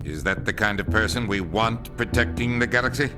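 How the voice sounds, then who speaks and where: A man playing a serious official who talks in a deliberate, questioning way. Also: lowish voice, natural voice. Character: serious male official weighing a candidate. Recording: music behind.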